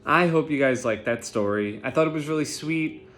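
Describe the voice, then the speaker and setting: A man reading a children's picture book aloud expressively, in an animated way for young listeners. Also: a little high, normal speed, faint music behind. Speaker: read-aloud storyteller.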